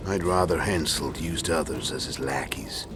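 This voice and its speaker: A man acting a calm, calculating assassin leader in a video game, speaking in measured, terse and commanding lines. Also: very deep voice, background noise. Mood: cool, probing. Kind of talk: dramatic video game dialogue. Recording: music behind the voice.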